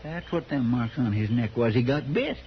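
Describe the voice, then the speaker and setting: A man's voice: mid-range voice, animated, normal speed, close to an everyday voice. An American man playing a folksy, deferential frontier sidekick with a rural Western drawl in a radio western. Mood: earnest. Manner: plain-spoken, folksy, deferential. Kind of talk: 1950s radio western acting. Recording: dull, noisy 1950s radio recording.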